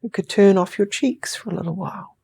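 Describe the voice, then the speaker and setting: A woman with a New Zealand accent guiding a sleep hypnosis session, speaking in slow, soothing, drawn-out phrases that sometimes drop to a whisper. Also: mid-range voice, a little mellow, breathy edge.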